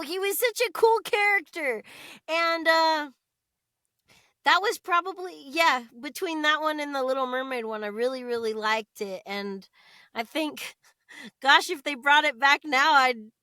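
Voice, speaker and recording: An American woman chatting casually as an interview guest, expressive and chatty. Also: high voice, unhurried, no echo, studio quality. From United States.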